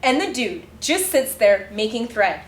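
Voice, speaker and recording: A woman's voice: a little high, very animated, a little slow. A woman reading a picture book aloud in character, with girlish, dramatic expression and exaggerated reactions. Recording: roomy echo with faint music.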